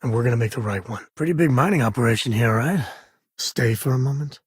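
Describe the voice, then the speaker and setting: A heavily husky, gravelly spacefarer played by a male actor from a video game, with a medium-pitched voice. He is an easygoing, loyal father and explorer who talks in a wry, folksy, conversational way. The sound is dry, with no room around it. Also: quick pace, no echo, natural voice. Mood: earnest. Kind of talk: video game character dialogue.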